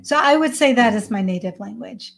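A woman's voice: on the high side, animated, a little fast, gravelly. A woman in her forties with an American accent and Hindi as her first language, talking conversationally as an interview guest. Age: 40s. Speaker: podcast guest, parenting coach.

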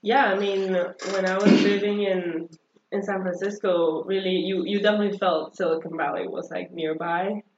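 A woman as an interview guest, talking in an upbeat, animated way with frequent fillers and laughter. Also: medium pitch, moderate pace, background noise. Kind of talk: casual conversational interview. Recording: roomy dull lo-fi audio.

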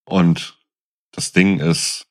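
A man's voice: sits low, flat delivery, drawn-out words. A middle-aged man talking casually as a podcast co-host.

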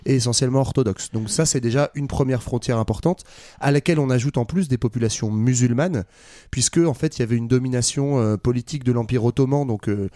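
Fast-talking Frenchman, casual and hesitant. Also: medium pitch, dark tone, dry recording, studio quality. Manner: hesitant, colloquial, digressive. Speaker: co-host of a history discussion. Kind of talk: casual chat.

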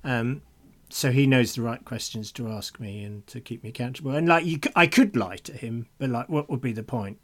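A man's voice: mid-range voice, a little fast, hardly any pauses. A man co-hosting a reflective conversation about faith, speaking earnestly and repeating phrases for emphasis. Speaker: podcast co-host.

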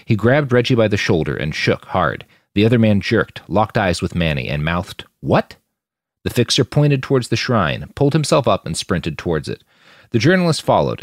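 Guy reading an action novel out loud, deep voice, super animated, dry recording. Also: dry recording. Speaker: author narrating own fiction. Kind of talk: dramatic audiobook narration.

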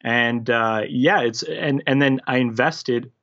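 A man's voice: medium pitch, slow pace. Friendly guy in his 30s, grew up in canada, guesting on a podcast over muffled lo-fi audio. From former Yugoslavia, raised in Canada. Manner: friendly, relaxed, rambling. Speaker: podcast guest, real estate investor. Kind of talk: casual interview. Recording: dull lo-fi call audio.

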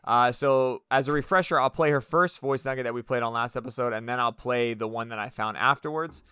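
A man hosting a podcast, talking in a loose, excitable way and swearing freely. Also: medium pitch, fairly quick, clean tone, no echo, studio quality. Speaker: podcast host. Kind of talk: casual podcast chat.

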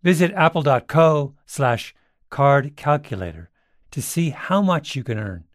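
A man's voice: a little high, lively intonation, unhurried, dry recording, studio quality. A man reading a scripted advert as a voice-over. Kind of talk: scripted ad read. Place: dry studio.

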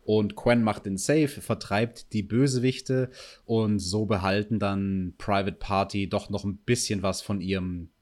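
A man's voice: on the low side, fairly even intonation, unhurried, a little mellow. Male podcast host recapping wrestling matches, casual conversational delivery. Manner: recaps matches in detail, asks co-host questions. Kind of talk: casual review chat.

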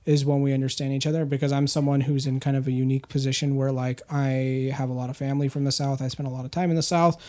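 Dark-toned man rambling casually. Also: mid-range voice, level tone, fast, few pauses. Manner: rambling, profane, filler-heavy. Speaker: podcast co-host. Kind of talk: casual podcast chat. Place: indoor studio, leaning away from mic.

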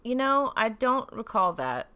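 Woman podcast co-host, sounds tired. Bright tone, very animated pitch, rambling delivery. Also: a little slow. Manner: rambling, laughs often. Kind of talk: casual chat.

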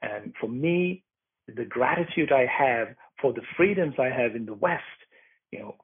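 A husky-voiced man talks through his answers in an earnest, searching way, landing hard on key words. He is heard over a thin, phone-like connection. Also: mid-range voice, animated, measured pace. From Sri Lanka. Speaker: documentary maker, guest. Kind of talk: interview answers. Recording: phone-like remote line.

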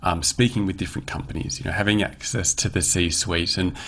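A man's voice: low-pitched, steady tone, fairly quick, fairly bright tone. Appreciative male interviewer. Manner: appreciative, long winding questions. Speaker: interviewer, host. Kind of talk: interview questions.

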